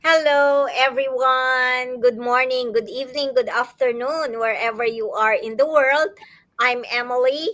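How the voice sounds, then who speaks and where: Bright-voiced woman from the Philippines. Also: sits high, fairly even intonation, measured pace, dry recording, studio quality. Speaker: guest entrepreneur. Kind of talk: live online panel. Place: home in Georgia, USA.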